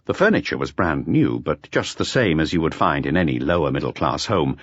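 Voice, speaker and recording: A man's voice: rumbling low, big pitch swings, brisk, breathy edge, dry recording, muffled recording. A man reading a detective novel aloud as scripted audiobook narration.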